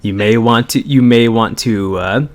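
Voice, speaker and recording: A man reading comically and commenting casually, amused, repeating his phrases haltingly, with uneven volume. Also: mid-range voice. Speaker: co-host reading fan fiction aloud.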